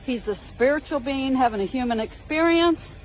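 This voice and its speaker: A woman in a played video clip talking calmly and matter-of-factly about her view, with religious phrasing. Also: high voice, moderate pace, slight background noise, rough recording. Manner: calm, matter-of-fact, slightly religious phrasing. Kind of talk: personal video monologue.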